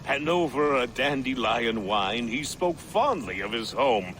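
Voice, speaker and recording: An actor voicing a credulous, eccentric old herbalist with a mystical, archaic and theatrical way of speaking in a game cutscene. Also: male voice, medium pitch, animated, measured pace, fairly bright tone, slight background noise. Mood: delighted, friendly. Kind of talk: video game cutscene acting.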